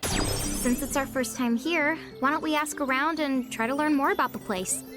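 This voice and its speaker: An actress playing a spirited, sensible young heroine in a fantasy video game, with a teasing manner. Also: female voice, high voice, moderate pace, music in the background, natural voice. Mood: wry. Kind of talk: video game cutscene acting.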